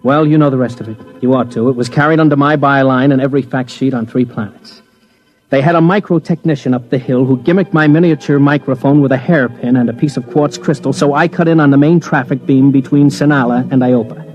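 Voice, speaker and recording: A man's voice: medium pitch, brisk, darkish tone, close to an everyday voice. Muffled old-radio actor as a wry male reporter. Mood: grave, reflective. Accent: American. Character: hard-bitten male newspaper reporter recounting his big story. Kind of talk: 1950s radio drama narration. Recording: muffled old radio recording, faint music.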